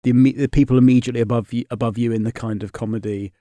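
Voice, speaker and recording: A man's voice: mid-range voice, fairly even intonation, racing, dark tone, dry recording. A man in his thirties, raised in Scotland and England, chatting as a comedian guest on a podcast in a rambling, self-interrupting way. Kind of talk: casual interview chat. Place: podcast studio.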